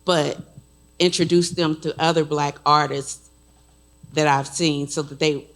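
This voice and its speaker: A woman's voice: sits low, fairly even intonation, a little slow. A woman from the audience sharing a rambling, personal comment at a panel. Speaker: audience member.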